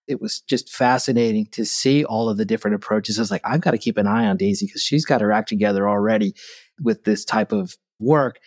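A man's voice: on the low side, a little fast. A man giving a friendly, anecdotal testimonial about a colleague. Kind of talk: casual recollection.

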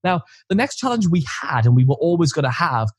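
A man co-hosting a podcast with a loud voice, chatting casually and explaining gear step by step, recorded on a studio mic in an office corner. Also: fairly high pitch, sing-song, brisk, breathy edge, no echo. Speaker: podcast co-host. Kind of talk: casual chat. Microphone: studio condenser mic on boom arm.

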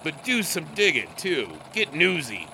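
Actor as a high-pitched, bustling newspaper editor. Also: male voice, huge pitch swings, measured pace, vocal fry, sharp tone, a little hiss or hum, full character voice. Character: gruffly genial, bustling 1920s male newspaper editor. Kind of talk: 1920s period audio drama acting.

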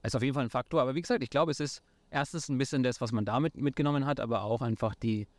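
A man's voice: medium pitch, racing, no echo. A man in his thirties from near Nuremberg with a Franconian accent, talking as an interview guest with many fillers and restarts. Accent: Franconian German. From Franconia, near Nuremberg, Germany. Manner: hesitant, many fillers and restarts, mixes in English words. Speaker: startup founder guest. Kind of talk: casual interview talk.

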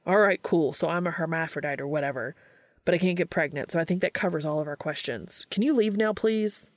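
A woman's voice: sits low, brisk, no echo, lightly acted. A woman voicing a brash, impatient man with a blunt, slangy manner in a story reading. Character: brash, crude, impatient adult man turned sea creature.

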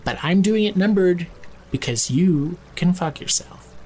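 A man's voice: high voice, expressive, unhurried, darkish tone, faint music behind. An actor playing an exasperated but caring man, blunt, sardonic and casual, in naturalistic audio drama dialogue. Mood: sardonic, weary. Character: Nico, exasperated, sweary, caring male friend. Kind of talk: fiction podcast acting, naturalistic dialogue.

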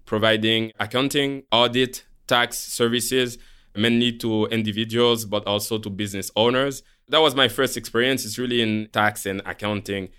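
A man's voice: lowish voice, steady tone, moderate pace, bright, forceful tone, clear, resonant tone. A man from Haiti with a Haitian French accent, a native speaker of Creole and French, explaining things conversationally as a podcast co-host. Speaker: podcast co-host, portfolio manager. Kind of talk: conversational interview, explaining career.

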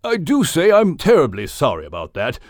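A genial whale of the old British gentlemanly sort, acted by a male audiobook reader. The speech is courteous and old-fashioned, with animated swings in pitch. The sound is dry and storybook-clear. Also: moderate pace, no room sound, heavily acted. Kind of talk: audiobook character acting.

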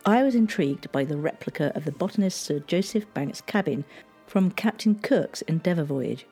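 A woman giving scripted history narration in a measured, gently storytelling way. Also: on the low side, expressive, breathy edge, soft background music.

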